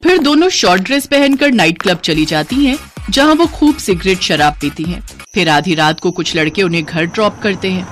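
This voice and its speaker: Lively woman narrating a story. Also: fairly low pitch, normal speed, faint music behind. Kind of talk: scripted dramatic story narration.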